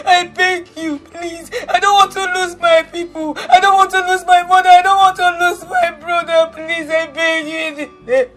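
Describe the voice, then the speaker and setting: Actress as a shrill, sobbing young woman, pleading and wailing. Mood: pleading tearful. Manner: tearful, pleading, rising to shouting. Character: desperate young woman Agatha pleading with her uncle. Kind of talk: Nollywood-style drama acting.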